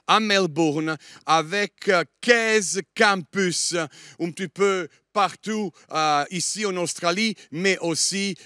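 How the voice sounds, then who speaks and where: A middle-aged Italian man with a fairly high voice preaches with an Italian accent touched by Liège. He hesitates often, filling pauses with "euh" as he searches for French words. The sound is dry and close, with little room echo. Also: fairly bright tone. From Italy. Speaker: guest preacher. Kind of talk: sermon.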